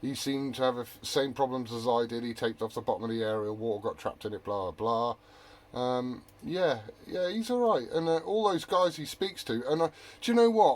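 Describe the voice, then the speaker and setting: A man's voice: mid-range voice, a little mellow. A man chatting casually as a hobby radio operator, rambling and often correcting himself mid-thought.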